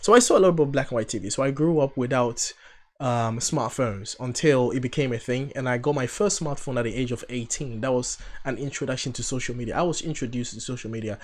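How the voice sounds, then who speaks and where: A man in his thirties hosts a reaction video. He chats at a middling pitch, wandering off on tangents and teasing with playful sarcasm. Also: quick pace, a little mellow. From Ghana. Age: 30s. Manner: chatty, rambling, playfully sarcastic. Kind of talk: casual reaction commentary.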